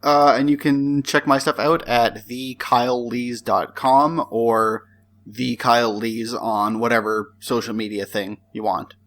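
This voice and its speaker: A man's voice: mid-range voice, a little slow. A man co-hosting a comedy podcast, making dry absurd jokes. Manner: deadpan jokey quips. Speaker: podcast co-host. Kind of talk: joking podcast banter.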